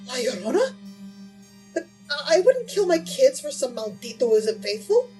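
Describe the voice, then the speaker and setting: A woman's voice: sits high, big pitch swings, moderate pace, warm tone, distant mic, soft background music. A woman acting an anxious, pleading young mother haunted by a ghost in an audio drama. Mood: uneasy, hurt.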